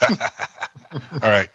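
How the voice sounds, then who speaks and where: Casting: male guest, bright edgy voice, blunt and jokey, informal talk. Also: very slow, dry recording. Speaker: guest voice actor. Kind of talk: casual, jokey chat.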